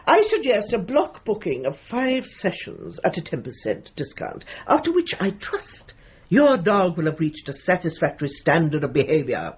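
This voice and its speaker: Bossy dog trainer, wildly swooping voice. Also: female voice, fairly low pitch, big pitch swings, normal speed, breathy edge, dull audio, full character voice. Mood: probing, officious. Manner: imperious, precise, patronising with pet endearments. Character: brisk, bossy, unsentimental widowed female dog trainer. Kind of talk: comic radio drama acting.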